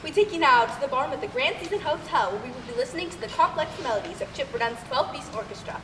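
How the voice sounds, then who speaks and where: A woman's voice: sits high, animated, fast talker. A young woman playing a pompous, domineering radio playwright and announcer, grandiose and bossy, in theatrical stage comedy. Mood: flustered formality. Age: young adult. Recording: roomy noisy stage hall, far mic.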